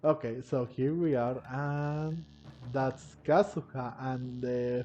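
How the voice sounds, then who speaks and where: A man giving casual gameplay commentary, halting and often repeating or rephrasing himself. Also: medium pitch, fairly even intonation, mellow, slight background noise. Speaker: gamer narrating a playthrough. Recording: muffled lo-fi mic, game music behind. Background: video game music.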